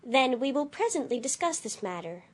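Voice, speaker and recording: Woman playing a sweet, high-voiced girl queen. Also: very animated, moderate pace. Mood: calm, firm. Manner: poised, courteous, firm. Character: gentle, dignified, self-assured girl ruler. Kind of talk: dramatized storybook acting.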